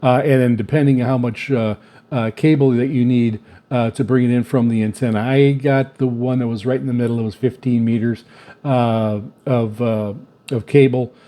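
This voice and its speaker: Mellow-voiced man giving a halting gear review. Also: mid-range voice, fairly even intonation. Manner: halting, repetitive, stammering delivery with frequent filled pauses. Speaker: video host reviewing radio gear. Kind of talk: casual unscripted product review.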